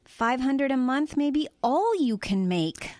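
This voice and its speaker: A woman's voice: sits high, big pitch swings, unhurried, darkish tone, no room sound, studio quality. An actress playing an exasperated, anxious adult daughter and protective mother, scolding her way through an audio comedy drama. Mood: weary, discouraging.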